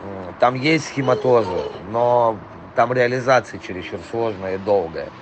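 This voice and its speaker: A hoarse man rambling profanely in a casual voice message to a client, full of fillers. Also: mid-range voice, moderate pace, noisy background. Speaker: trailer import dealer.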